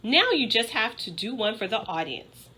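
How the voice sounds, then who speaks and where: Woman reading as an earnest, insistent schoolgirl, bright voice. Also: fairly high pitch, big pitch swings, normal speed, husky, exaggerated voice. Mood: brisk. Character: earnest, intense, hardworking schoolgirl, bossy and defensive. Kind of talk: children's storybook read-aloud.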